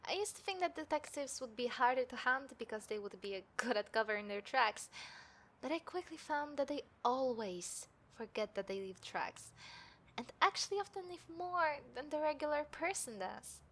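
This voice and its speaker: A woman playing a taunting, confident serial killer in a scripted audio roleplay, speaking to the listener with smug, deliberate menace. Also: high-pitched, animated, fairly bright tone. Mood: scornful, disappointed. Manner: smug, menacing, deliberate, dropping into breathy whispers. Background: city ambience sound effects.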